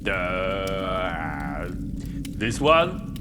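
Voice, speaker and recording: An actor playing a hulking ancient warrior creature in a fantasy audio drama, gruff, simple and quick to rage, grunting in broken fragments. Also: male voice, low voice, very animated, noisy background, full character voice. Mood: dull, terse.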